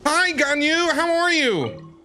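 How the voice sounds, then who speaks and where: A man streaming a game, reacting with quick jokes and animated quips. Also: extremely high pitch, over music. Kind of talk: reaction commentary over a game.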